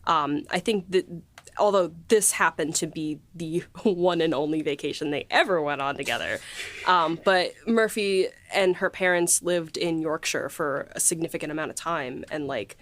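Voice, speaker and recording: A woman hosting a casual behind-the-scenes podcast chat, speaking effusively and quick to laugh. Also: low-pitched, a bit bright, slight background noise. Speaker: podcast host and audio drama writer.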